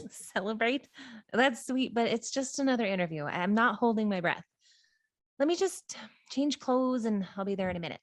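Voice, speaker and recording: A woman's voice: a little high, animated, moderate pace, no room sound, clean studio sound, natural voice. A woman playing an eager, uneasy young woman in a script table read, casual and apologetic. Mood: wry.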